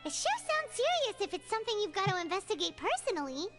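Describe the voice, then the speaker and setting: A tiny floating fairy girl, chirpy and nosy. Her voice sits extremely high and bounces all over the place. She pushes with eager questions over bright game music. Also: sing-song, fast. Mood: curious.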